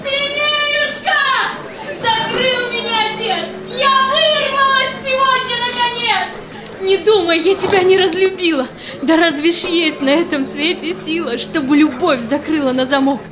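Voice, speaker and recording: A woman's voice: very high voice, unhurried, over music, a crowd in the background. An actress playing a passionate young woman in love who defies her father, pleading in theatrical verse. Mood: passionate, tearful joy. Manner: impassioned, pleading, exclamatory. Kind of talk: theatrical verse drama acting.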